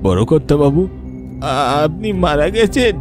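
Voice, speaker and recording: Flustered, high-voiced old manservant played by a man. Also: big pitch swings, measured pace, over music. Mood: shocked. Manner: urgent, flustered. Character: alarmed, deferential old male family servant. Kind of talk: Bengali horror cartoon acting.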